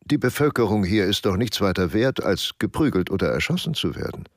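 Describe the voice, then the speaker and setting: An actor playing a cold German conqueror in a radio documentary drama, speaking in clipped, declamatory fragments. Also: male voice, on the low side, sing-song, fairly quick, no echo, studio quality. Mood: cold, grandiose. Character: cold German conqueror voicing contempt and land hunger.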